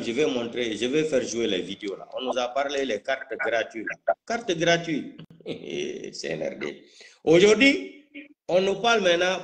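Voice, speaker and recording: Male political commentator, Guinean French accent, mid-range voice, combative and spontaneous, repeats himself for emphasis. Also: mid-range voice. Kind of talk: live political talk, spontaneous.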